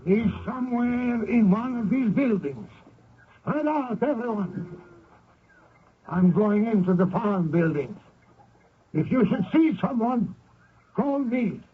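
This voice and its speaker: A man's voice: high voice, lively intonation, slow, long pauses, slight background noise. A man playing a commanding military captain hunting an escaped prisoner, speaking formally in old-time radio drama style. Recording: muffled, very tinny old radio broadcast.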